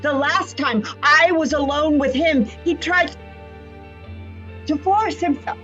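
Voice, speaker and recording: A woman playing a bitter, protective middle-aged mother, sharp and emotional, in drama dialogue. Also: sits high, slow. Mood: angry, wounded. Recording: dull lo-fi sound, faint music.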